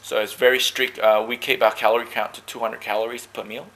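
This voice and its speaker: A man from Malaysia who talks about his team sport in relaxed, joking interview answers. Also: medium pitch, brisk, slight background noise, rough recording. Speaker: dragon boat paddler.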